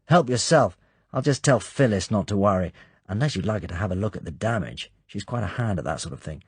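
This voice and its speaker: A man voicing a brisk middle-aged British station chief who talks in a hearty, clipped, urgent way. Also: on the low side, expressive, breathy edge, no echo.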